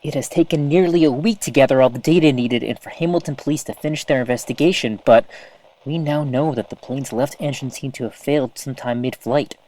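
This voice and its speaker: A woman's voice: a bass voice, fairly quick, warm tone, poor audio. A woman playing an eager, awkward small-town radio host in an audio drama, chatty and hesitant. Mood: solemn reporting.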